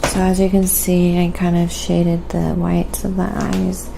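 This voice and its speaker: A woman livestream host speaking softly and breathily in a casual ASMR style, often slipping into a whisper as she muses aloud while painting and thanks viewers. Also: lowish voice, monotone, unhurried, noisy background. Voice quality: soft, breathy, often dropping into whisper and fry.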